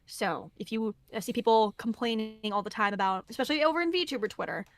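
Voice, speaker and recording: A woman with a fairly high voice talks through creator advice in an earnest, candid way. She laughs easily. The recording is dry and close. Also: quick pace, no echo. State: losing her voice. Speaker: guest content creator. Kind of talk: casual podcast conversation.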